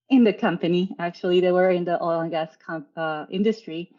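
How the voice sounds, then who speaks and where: Peruvian lady guest on a call, chatty with lots of ums, kinda low voice, muffled audio. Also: moderate pace. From Peru. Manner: relaxed storytelling with frequent fillers. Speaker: guest, travel agency owner. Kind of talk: casual interview talk.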